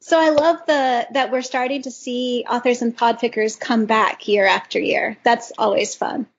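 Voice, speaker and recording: Woman podcast panelist, gushing fan energy, raspy edge to the voice, slightly muffled recording. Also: fairly high pitch, fairly even intonation, moderate pace. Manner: enthusiastic, gushing. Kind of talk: casual chat, recommending fan audio stories. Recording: dull lo-fi audio.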